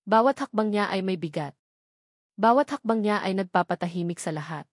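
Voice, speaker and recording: A woman's voice: medium pitch, lively intonation, brisk, no echo. Woman narrating dramatic fiction, dry close sound. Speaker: story narrator.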